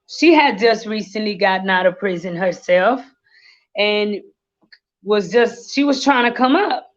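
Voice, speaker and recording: A woman in her thirties, born in Haiti, slowly and earnestly telling her life story as a guest, with many fillers. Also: medium pitch, a bit bright. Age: 30s. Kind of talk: livestream interview, life story. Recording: lo-fi livestream audio.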